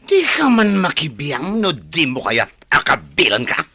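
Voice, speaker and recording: An arrogant, hot-headed younger man in a radio drama. His voice is hard and forceful with a rough rasp, and it swings wildly as he sneers and taunts. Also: on the high side, wildly swooping pitch, a little slow, dry recording, heavily acted. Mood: threatening. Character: arrogant, hot-tempered younger man, defiant toward his old nanny. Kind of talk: radio drama acting in Ilocano.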